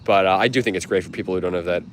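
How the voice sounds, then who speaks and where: A man's voice: low-pitched, racing, a little hiss or hum. Stuffy-nosed man rambling on a livestream. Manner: rambling, many fillers and restarts. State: stuffed up from a cold. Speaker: livestream host. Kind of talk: casual livestream chat.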